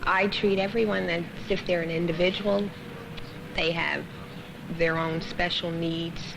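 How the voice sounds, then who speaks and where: A woman's voice: on the low side, fairly even intonation, a little slow, creaky, music in the background, low-quality recording. A woman working in customer service, talking in a documentary interview on old film sound.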